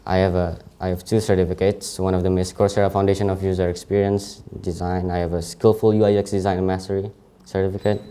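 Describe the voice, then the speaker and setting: A man's voice: low voice, flat delivery, dark tone. A young man in his late teens, a native Indonesian speaker with an Indonesian accent, giving an informal presentation of his CV and often stopping to correct himself. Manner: halting, frequent self-corrections.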